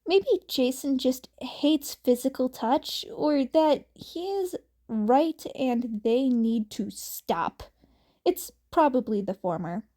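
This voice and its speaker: Slow-paced woman reading a story. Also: sits high, expressive, mellow. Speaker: amateur podfic reader. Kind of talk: fan fiction narration with acted dialogue.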